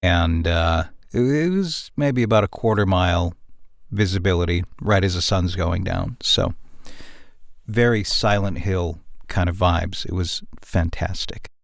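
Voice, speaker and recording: A man from the United States co-hosting a podcast, chatting casually in a wry, unhurried manner. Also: low voice, creaky, dry recording, studio quality. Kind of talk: casual chat.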